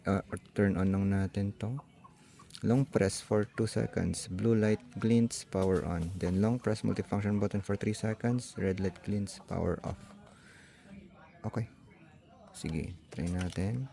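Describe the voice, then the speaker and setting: Low, creaky Filipino man, unhurried. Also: dark tone. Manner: tentative, mixes English technical words into his talk. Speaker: vlogger. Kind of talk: casual hands-on unboxing and gadget tutorial, thinking aloud.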